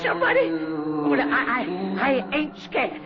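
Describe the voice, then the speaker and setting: An actor in a 1970s radio drama playing a stubborn, excitable colonial man with a folksy, blustering, stammering manner. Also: very high voice, a little slow, over loud music, full character voice. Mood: frightened bluster. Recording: muffled vintage radio, clear background noise.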